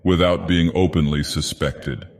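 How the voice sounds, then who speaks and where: A man's voice: low voice, steady tone, a little slow. A man reading a teasing young male artist who puts on mock outrage. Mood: mock-outraged. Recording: long reverb.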